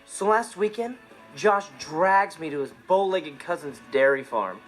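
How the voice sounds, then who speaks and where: A man's voice: a little high, animated, a little slow, soft background music, rough recording. A young American man playing a cool, smug, mischievous teenage boy, delivering a sitcom monologue with cocky nonchalance. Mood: cocky, amused. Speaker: sitcom actor.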